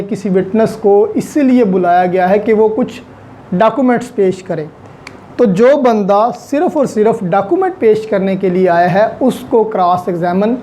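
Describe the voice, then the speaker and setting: Mellow-voiced Pakistani woman giving a lesson. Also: mid-range voice, slight background noise. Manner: didactic, repeats key points for emphasis. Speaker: law lecturer. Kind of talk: explanatory lecture mixing in English legal terms.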